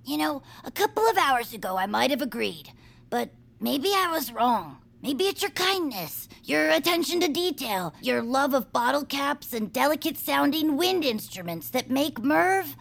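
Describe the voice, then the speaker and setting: A woman voicing a wry, dreamy young female peepkin inventor in an audio drama, with a slightly gravelly voice. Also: sits high, normal speed, heavily acted. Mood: earnest. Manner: wry, deadpan, theatrical.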